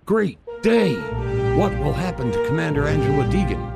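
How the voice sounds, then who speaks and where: A man narrates like a cliffhanger serial announcer, theatrical and lively. His pitch swings widely over loud music. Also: normal speed, creaky.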